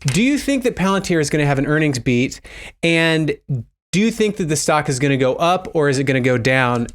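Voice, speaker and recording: A man giving casual, speculative commentary as a video host, thinking out loud and putting questions to his viewers. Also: a little high, expressive, brisk. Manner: musing, thinks aloud, poses questions to viewers. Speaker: host of a stock investing video channel.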